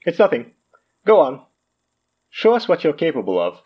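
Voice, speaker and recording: A man's voice: on the high side, huge pitch swings, moderate pace, husky, exaggerated voice. A man playing a courteous, smug mystic guru in a horror audio drama, with a genteel, theatrical manner. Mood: curious, intrigued. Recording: slightly dull sound.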